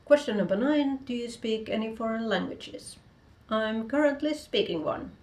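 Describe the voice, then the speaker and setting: A woman's voice: a little high, lively intonation, moderate pace, darkish tone, reverberant. A woman from Finland whose first language is Finnish, speaking English with a Finnish accent. She reads out each question of a video tag and then answers it in short, plain sentences from a room at home. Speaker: author vlogger.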